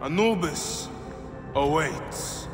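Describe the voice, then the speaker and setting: An actor playing a grieving, vengeful Egyptian warrior in a video game, solemn and deliberate, with music behind him. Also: male voice, fairly high pitch, lively intonation. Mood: grim, solemn. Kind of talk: dramatic video game acting.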